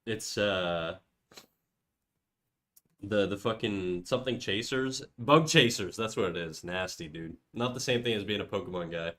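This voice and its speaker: A man's voice: fairly low pitch, unhurried, fairly bright tone. A young man with a cold, sounding stuffy and nasal, chatting casually and swearing on a livestream. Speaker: streamer. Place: home room.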